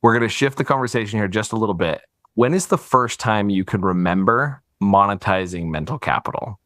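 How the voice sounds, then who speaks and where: A man's voice: lowish voice, brisk, a bit bright, dry recording. Upbeat male podcast host, dry sound. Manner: upbeat, thinking aloud, easy laughs. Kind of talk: casual interview.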